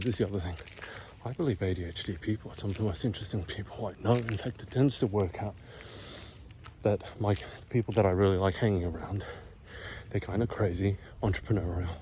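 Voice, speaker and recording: A man's voice: fairly low pitch, fairly quick, slight background noise. A middle-aged man whispering and out of breath as he walks uphill outside, talking through a casual vlog. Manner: whispering, hushed. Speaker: vlogger. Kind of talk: casual walking vlog monologue. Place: outdoors, walking uphill in a quiet neighborhood.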